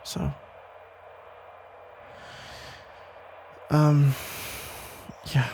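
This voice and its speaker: A man playing a protective, tender police officer ex-boyfriend in an intimate ASMR roleplay, speaking in halting, reassuring phrases with a gravelly voice. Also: on the high side, level tone, warm tone, slight background noise. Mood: tender, hopeful. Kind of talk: intimate ASMR roleplay, soft conversational acting.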